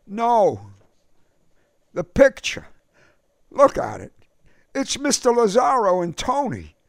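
A young man, frantic and pleading, his voice pitched high above the reader's own and rising and falling sharply, as a male narrator puts him on. The delivery is urgent, with a gravelly edge under the strain, in a dry, close recording. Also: huge pitch swings, slow, dry recording, exaggerated voice.

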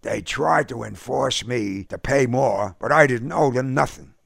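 A man reading an audiobook, voicing a tough, streetwise older brother who talks gruffly and defensively. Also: mid-range voice, unhurried, fairly bright tone, no echo, studio quality, voice pushed up, heavily acted. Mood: breezy.

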